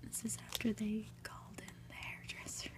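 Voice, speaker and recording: A woman whispering an ASMR reading of a story in a gentle, hushed manner, with soft asides. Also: moderate pace. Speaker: ASMR reader and host.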